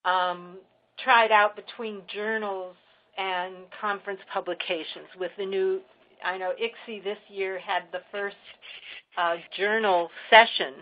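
A woman's voice: medium pitch, steady tone, unhurried. A woman speaking informally as the moderator of a webinar. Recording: dull, lo-fi webinar audio.

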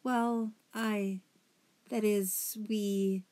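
A woman's voice: mid-range voice, lively intonation, moderate pace, darkish tone, pitched above the performer's own voice, close to an everyday voice. A woman voicing an anxious, earnest young female mouse in a storybook, hesitant and pleading. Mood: subdued, upset.

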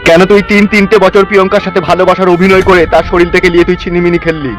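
Guy doing hot-tempered cartoon dad, high voice, crazy fast scolding, music under it. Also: level tone, natural voice. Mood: furious. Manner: ranting, scolding, exaggerated. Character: furious, foul-mouthed protective village father. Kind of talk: melodramatic comedy cartoon dubbing.

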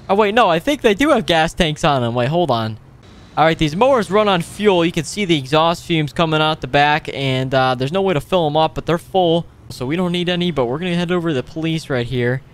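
A man with a fairly high, smooth and clear voice gives casual running commentary over a roleplay gaming video. He is playful and excitable, tossing out exclamations as he goes.

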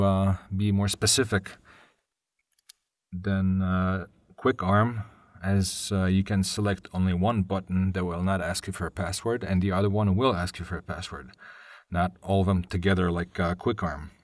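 A man presenting a live software tutorial as a technical webinar presenter, hesitant, with short pauses mid-sentence. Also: sits low, steady tone, no room sound, clean studio sound. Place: voice-over on a screen-share webinar.

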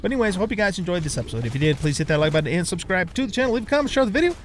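A man's voice: high voice, big pitch swings, racing, a little hiss or hum. A middle-aged man giving casual let's play commentary, chatting and thinking aloud as he goes. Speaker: gaming let's play host.

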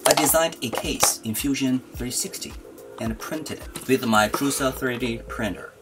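A man's voice: medium pitch, normal speed. A man giving scripted tutorial narration as a maker presenting an electronics build. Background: background music.

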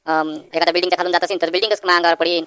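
A woman's voice: fairly low pitch, steady tone, racing, fairly bright tone, clean tone, no room sound, studio quality, natural voice. A woman voicing an anxious, naive village man in a comic cartoon, rambling with hesitant fillers in a rural Vidarbha Marathi accent. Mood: fretful. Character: anxious rustic village man, naive worrier visiting a doctor.

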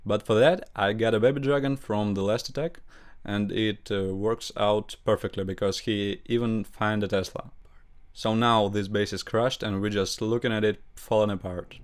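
A young man with a Russian accent, a gaming channel host commenting over his gameplay in halting English, with grammar slips. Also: on the low side, steady tone. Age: young adult.

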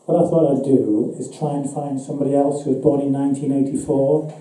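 Middle-aged male event host, very mellow and dark tone, distant and very roomy live recording. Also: medium pitch, fairly even intonation, brisk. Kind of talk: stage introduction to a live audience. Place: indoor event room, on small stage. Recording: distant, muffled, echoey room with noise.

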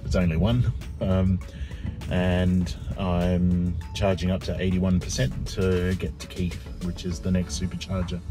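A man's voice: deep voice, flat delivery, music in the background. A middle-aged man talking hesitantly and casually to camera for a road trip vlog, filmed at arm's length in a car or outdoors. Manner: hesitant, halting, thinks aloud. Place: parked car interior and outdoor roadside charging stops. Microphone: phone or camera at arm's length.

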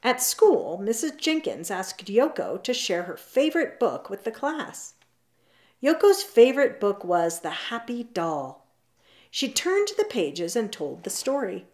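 A woman reading a children's picture book aloud at home, in an expressive, drawn-out storybook manner. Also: fairly high pitch. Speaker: storytime reader. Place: indoors at home, seated on a leather couch.

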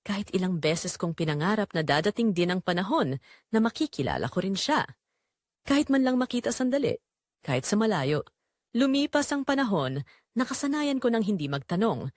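Female Filipino narrator for first-person audio drama, confessional, lively intonation, mid-pitched, very dry recording. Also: no echo. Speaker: narrator telling the story as its main character.

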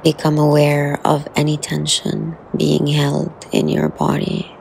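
A woman leading a guided meditation in a soothing, unhurried way, with soft, breathy phrase endings and long pauses. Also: deep voice, completely flat delivery, slight background noise, poor audio.

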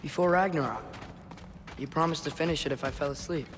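An actor playing an earnest, sensitive teenage boy warrior in a video game, soft-spoken and hesitant. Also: fairly high pitch, brisk, background noise, natural voice. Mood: warm, curious. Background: music.

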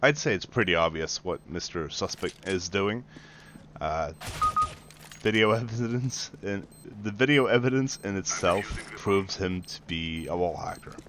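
Deep, slow gaming host. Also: male voice, noisy background. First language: Swedish. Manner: mocking, taunting, casual swearing. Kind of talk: sarcastic gameplay commentary. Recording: game gunfire under voice.

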